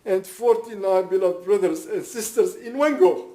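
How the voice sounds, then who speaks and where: Solemn man, mellow voice, reading a statement. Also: sits high. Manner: solemn, measured, formal. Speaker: bereaved father reading a victim impact statement. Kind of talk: prepared statement read aloud.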